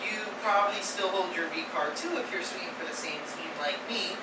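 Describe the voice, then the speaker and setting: Guy playing nice sincere teen boy in a musical, soft voice, far from mic in echoey room. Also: sits high, fairly quick, very noisy, close to an everyday voice. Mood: firm. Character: earnest, friendly, slightly shy new high school boy. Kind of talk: theatrical staged musical reading, half-sung. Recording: echoey stage, distant mic, loud music.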